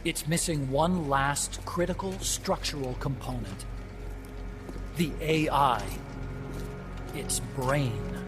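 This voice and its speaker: Casting: an anxious young scientist, slightly high voice, fast explanatory delivery, music under the scene. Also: male voice. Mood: briefing. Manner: quick, explanatory, turns subdued and hesitant. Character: young male scientist, nervous, guilt-ridden. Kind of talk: video game cutscene acting.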